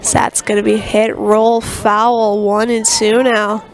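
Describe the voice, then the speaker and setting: A woman's voice: medium pitch, level tone, slow pace, rough recording. A woman doing live play-by-play commentary for a game while recovering from a cold, reading sponsor spots between plays. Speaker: play-by-play announcer. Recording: crowd noise behind.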